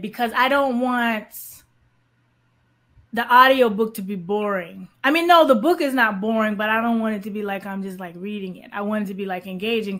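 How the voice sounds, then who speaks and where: A woman's voice: mid-range voice, fairly bright tone. A woman, a guest on an interview podcast, telling stories expressively over a video call from an office. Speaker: guest, real estate agent and author. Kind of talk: casual interview chat. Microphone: webcam on a video call.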